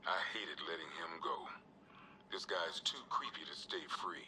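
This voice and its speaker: Weary american cop for a game evidence log, really low forceful voice, dry and streetwise, sounds off-mic w some rumble. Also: male voice, fairly even intonation, a little slow, distant mic, heavily acted. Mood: wry, weary. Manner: terse, streetwise, dry asides. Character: gruff male police evidence officer, world-weary, dryly wry. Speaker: police officer cataloguing evidence. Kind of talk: scripted video game evidence log narration.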